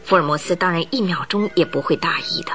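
A woman narrating a radio drama as a lively storyteller, tossing in rhetorical asides. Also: on the low side, fast, soft background music.

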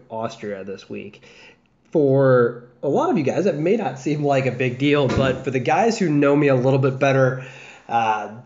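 A man's voice: mid-range voice, a little mellow. A young American man hosting a casual solo fantasy football podcast, chatting loosely with fillers and restarts. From United States, south of the Chicago area. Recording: dull lo-fi podcast audio.

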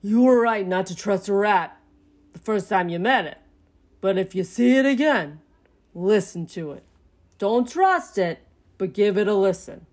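A woman's voice: mid-range voice, lively intonation, a little slow, darkish tone. A woman voicing a retired old raccoon father in a story, giving easygoing, folksy advice with wry fondness. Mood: advising. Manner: easygoing, advising, colloquial.

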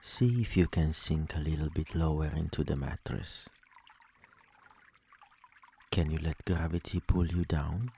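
A man leading a guided sleep meditation, speaking slowly and soothingly with long pauses between phrases. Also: rumbling low, vocal fry, warm tone, slightly rough.

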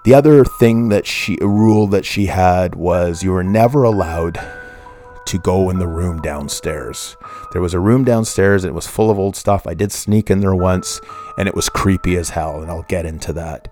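A middle-aged man speaks in a very low, deep voice. He tells a personal story in a somber, rambling way, stopping often to correct himself. Faint music plays behind him. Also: moderate pace, mellow. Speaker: podcast host.

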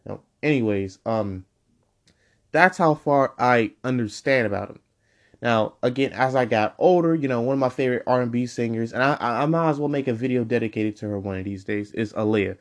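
A young man in his twenties talks through his solo podcast, wandering from point to point. He keeps correcting himself and filling pauses with "um" and "like". His voice sits at an ordinary middle pitch. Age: 20s. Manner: rambling, full of fillers and self-corrections. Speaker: podcast host. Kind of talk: casual solo podcast monologue.

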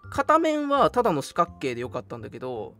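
A radio host chatting casually and telling stories in an animated, emphatic, colloquial way. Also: mid-range voice, quick pace. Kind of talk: casual chat, storytelling. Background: background music.

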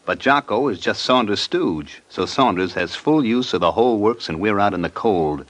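An actor playing a shrewd, impatient, hard-boiled creditor in an old radio crime drama, wisecracking in sarcastic slang. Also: male voice, lowish voice, animated, normal speed, fairly bright tone. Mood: sardonic, impatient.

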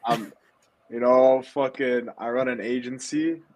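A man's voice: mid-range voice, steady tone, a little slow, a little mellow. A young man from Ottawa, a podcast guest, talking casually in a loose, rambling way with lots of fillers. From Ottawa, Canada. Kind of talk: casual chat.

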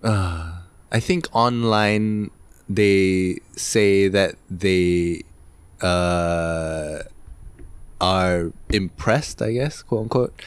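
A young Malaysian man with a very low, smooth, resonant voice co-hosts a casual podcast chat. He talks in a hesitant, rambling way, and the sound is dry and close. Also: very slow, dry recording. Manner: hesitant and rambling, many fillers. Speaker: podcast co-host.

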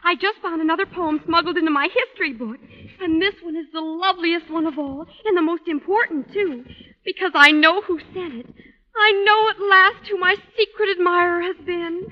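A woman's voice: very high voice, moderate pace. An American actress playing a gushing, lovestruck teenage schoolgirl with melodramatic, swooning delivery in a 1940s radio sitcom. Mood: gushing, excited. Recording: dull old radio broadcast.

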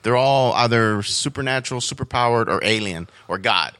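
A man's voice: medium pitch, moderate pace, pushed and bright, dry recording. A man chatting casually in an informal, rambling storytelling way, as a podcast guest. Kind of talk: casual chat about superhero comics and cartoons.

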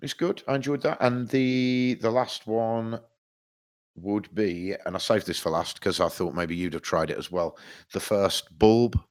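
Podcast co-host, male, very deep voice, congested, rambling casual delivery. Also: measured pace. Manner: rambling, restarts a lot, swears casually. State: stuffed up with hay fever. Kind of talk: casual music chat.

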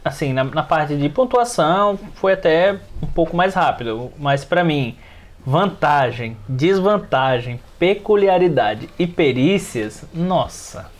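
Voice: medium pitch, expressive, slow pace. A person with a Brazilian accent chatting informally and colloquially on a tabletop games podcast. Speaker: podcast panelist.

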